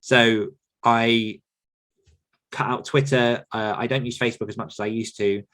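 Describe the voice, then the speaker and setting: Male podcast host, thirties, candid, chatty, average pitch. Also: fairly even intonation, a little slow, fairly bright tone. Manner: candid, chatty, laughs often. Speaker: podcast host and author coach. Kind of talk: casual podcast chat.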